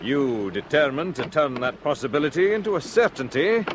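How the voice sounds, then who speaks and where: A man's voice: on the high side, very animated, normal speed. An actor playing a wary man caught up in a swindle, speaking in a dry, guarded way. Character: wary Scottish male expert in on a swindle. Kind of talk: 1970s radio drama acting. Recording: radio play with water noise.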